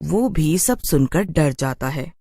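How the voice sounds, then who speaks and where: A woman's voice: low-pitched, animated, slow, mellow, no echo, studio quality. A woman narrating a scripted horror story for a cartoon in storybook style.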